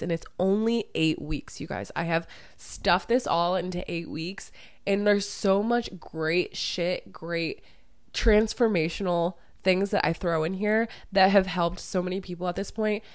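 Hoarse woman with a gravelly voice. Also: fairly low pitch, no room sound, clean studio sound. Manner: candid, swears freely, laughs easily. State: hoarse, losing her voice from illness. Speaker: podcast host and online dating coach. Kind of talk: casual solo podcast chat.

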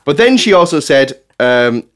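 A man's voice: medium pitch, big pitch swings, normal speed. A man hosting a casual comedy podcast, reading out listener submissions and often breaking into laughter as he goes.

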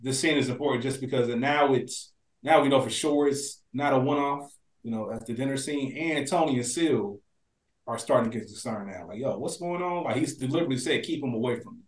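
A man's voice: mid-range voice, fairly quick. A man with an American accent talking casually and colloquially as a co-host on a review podcast. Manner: colloquial, conversational. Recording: roomy, echoey sound.

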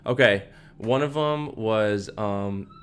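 A man's voice: fairly low pitch, slow, a loud crowd. A young man co-hosting a podcast in casual chat, laid-back and dropping "man" and "dude" often. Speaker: podcast co-host.

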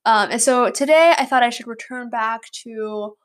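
A woman's voice: on the high side, normal speed. A young woman hosting her own podcast, chatting casually and rambling with many likes and ums. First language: English. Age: young adult, student. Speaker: podcast host. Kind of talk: casual solo podcast chat.

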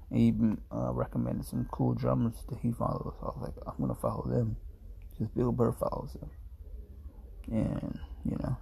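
A man's voice: mid-range voice, vocal fry, slightly rough. A man in his twenties talking to camera in a laid-back, meandering, self-mocking way, sounding sleepy. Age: 20s. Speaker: vlogger. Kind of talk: rambling casual monologue to camera.

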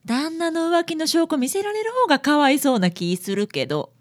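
A woman's voice: high voice, big pitch swings, a little fast. A woman with a Kansai accent playing a sensible young detective's assistant in a community radio drama, talking in a wry, teasing way.

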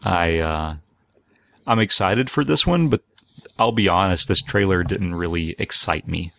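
A male podcast host with a very low voice that often drops into fry. He talks casually and meanders, pausing to find his words. The sound is dry. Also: a bit bright, no room sound.

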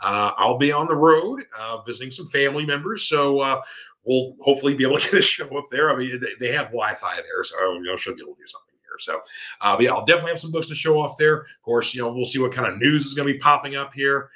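Bright-voiced man, tired and rambling. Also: mid-range voice, fast. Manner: rambling, with many fillers and restarts. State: voice tiring, a little hoarse. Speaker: podcast host. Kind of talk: casual solo chat reading listener comments. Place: home room with a cat nearby.

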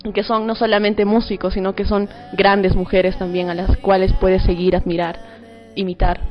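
A woman's voice: mid-range voice, flat delivery, moderate pace, highs cut off, faint music behind. A woman with a Peruvian accent speaking as an interview guest, a singer-songwriter talking casually. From Peru.

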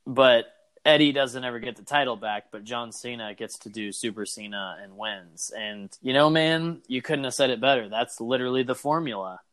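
A man in casual conversation who rambles and gets animated, full of "like" and "man". His voice is mid-pitched, and the sound is dry and close with no room around it. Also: normal speed, dry recording. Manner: animated, rambling, lots of fillers. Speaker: podcast co-host. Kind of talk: casual chat about wrestling.

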